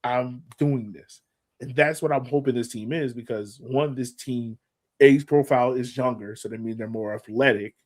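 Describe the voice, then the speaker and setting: A man's voice: mid-range voice, steady tone, moderate pace, darkish tone. A man co-hosting a soccer podcast, breaking things down casually in colloquial language and repeating words for emphasis. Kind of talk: casual sports analysis.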